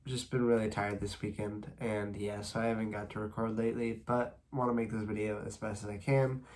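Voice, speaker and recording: A young man with a stuffy, congested nose and a slightly worn voice, casually recapping baseball standings as a video host and rambling a little between stats. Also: on the low side. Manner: reads stats at a steady clip, rambling asides. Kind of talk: casual solo sports recap.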